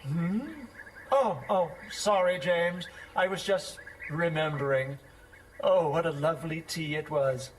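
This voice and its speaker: A vain, lovestruck schoolmaster in an audio drama, with a fairly high voice. He speaks in florid, theatrical phrases, pompous and effusive, over clearly noisy ambience. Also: steady tone, takes it slowly.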